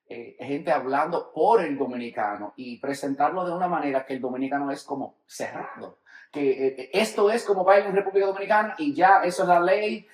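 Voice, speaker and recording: A man's voice: on the high side, a little fast, reverberant, poor audio. Easygoing male podcast host. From New York, United States. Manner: relaxed, conversational, acts out quotes. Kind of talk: casual podcast interview.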